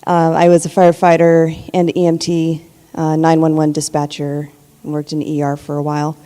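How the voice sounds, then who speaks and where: Middle-aged woman, low and mellow, earnest panelist, hall reverb. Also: monotone, moderate pace. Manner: earnest, with many ums. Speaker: panelist author. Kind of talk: conference panel talk. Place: conference panel room. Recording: echoey hall with long reverb.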